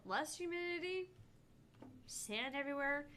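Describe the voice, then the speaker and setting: A woman's voice: high voice, animated, unhurried, bright, forceful tone. A woman co-hosting a casual gaming livestream with sardonic, sweary, teasing banter, recorded with background noise. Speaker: gaming channel co-host and narrator.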